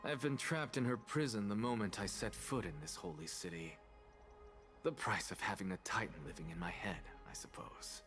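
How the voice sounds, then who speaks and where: A man's voice: lowish voice, normal speed, a bit bright, faint music behind, natural voice. An actor playing a weary, sardonic male professor in a game, speaking in a dry, world-weary way.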